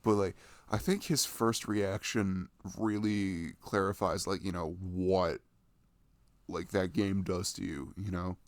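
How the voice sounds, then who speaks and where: A man's voice: deep voice, steady tone, takes it slowly, dry recording. A young adult man chatting casually and enthusiastically about video games in a rambling, slangy way, close to the microphone.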